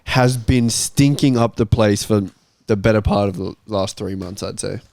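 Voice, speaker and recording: A man's voice: on the low side, moderate pace, a little mellow, dry recording. A man hosting a panel, talking casually in football banter. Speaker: panel show host.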